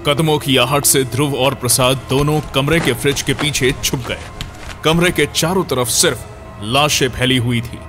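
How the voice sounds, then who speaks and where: A man's voice: medium pitch, normal speed. A man narrating a scripted horror audio story in a dramatic storyteller's manner. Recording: music under the voice. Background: background music.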